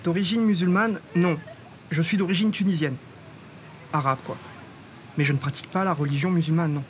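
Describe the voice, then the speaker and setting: A man answering a reporter's questions at an outdoor event, relaxed and friendly. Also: on the high side, fairly quick, slight background noise. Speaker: interviewee.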